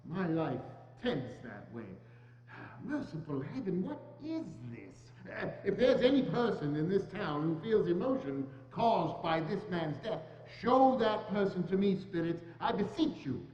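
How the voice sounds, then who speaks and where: Guy acting old miser begging a spirit, scared and sorry, really animated high voice, theatre reading in echoey church. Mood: troubled, pleading. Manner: halting, theatrical, imploring. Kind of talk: dramatic reading of a Victorian classic. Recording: echoey church hall, dull, humming noise.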